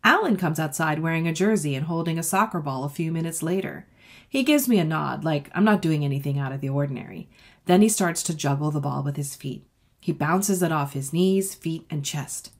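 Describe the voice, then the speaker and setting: Female children's author for read-aloud: low, lightly husky voice, expressive, talks directly to kids. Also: brisk. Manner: expressive, addressing young listeners. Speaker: author reading their own book.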